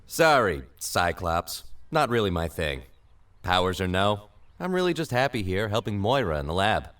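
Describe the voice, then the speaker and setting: An actor playing a casual, self-effacing reluctant hero in an audio drama. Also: male voice, low-pitched, very animated, normal speed, a bit bright. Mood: apologetic. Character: easygoing male lab helper, reluctant hero.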